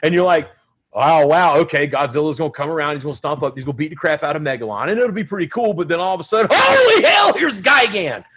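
A man hosting a casual movie chat, excitable and quick to laugh. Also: a little high, a little hiss or hum. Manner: excitable, laughs often, bursts into shouting. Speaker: podcast host.

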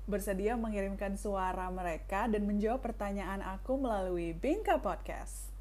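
A woman's voice: mid-range voice, moderate pace, noisy background. A woman presenting a podcast as its host in a prepared style. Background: music.

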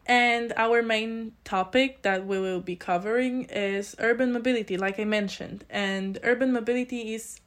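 A woman of about twenty with a Polish accent, answering interview questions in an earnest, explanatory, conversational way. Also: medium pitch. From Poland. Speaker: interview guest, student committee chair.

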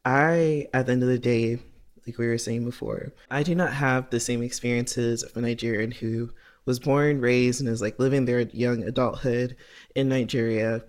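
This is a man at a typical male pitch, co-hosting a podcast. He talks through his ideas earnestly and loosely, with frequent "like" fillers and self-directed questions. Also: fairly even intonation, normal speed. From United States. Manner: earnest, rambling, heavy "like" fillers, asks himself questions. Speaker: podcast co-host. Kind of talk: casual reflective chat.

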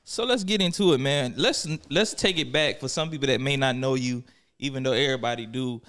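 A man's voice: mid-range voice, fairly quick, fairly bright tone, clean tone. An American man hosting a casual podcast, talking in a blunt, slangy, profane and encouraging way. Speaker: podcast host. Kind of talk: casual, slangy interview chat.

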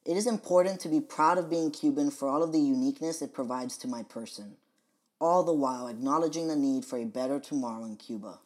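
A young adult man from the United States hosting a podcast, reading his scripted personal monologue in a measured, earnest way. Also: medium pitch, darkish tone. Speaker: podcast host.

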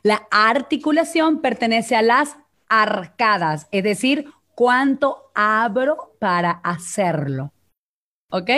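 Venezuelan vocal coach, lively explainer. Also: female voice, a little high. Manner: explanatory, animated, checks in with "¿ok?". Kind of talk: casual teaching chat on a live stream.

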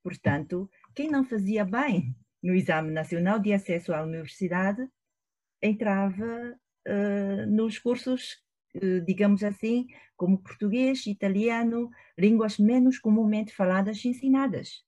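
A woman's voice: medium pitch, a little slow, mellow. Thoughtful Chinese-accented woman professor. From China. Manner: thoughtful, hesitant, with many fillers. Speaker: professor, guest. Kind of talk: interview answers. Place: at home in Shanghai, remote call.

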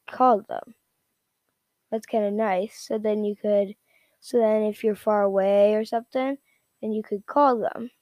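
A woman giving a casual, unscripted product rundown in a rambling, repetitive, halting way. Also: mid-range voice, mellow, dry recording. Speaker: product reviewer.

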